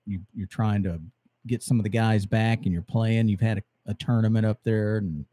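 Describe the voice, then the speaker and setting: A man hosting a radio interview, asking easygoing questions, his voice getting hoarse. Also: fairly low pitch, fairly even intonation, moderate pace, dark tone, dry recording. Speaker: radio show host. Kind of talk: casual interview chat.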